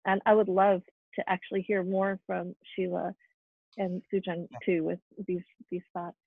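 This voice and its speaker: Woman panelist, husky and gravelly, reflective and halting, heard through a thin phone-like call. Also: lowish voice, flat delivery, no room sound. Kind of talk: earnest panel discussion. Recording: tinny phone-like line.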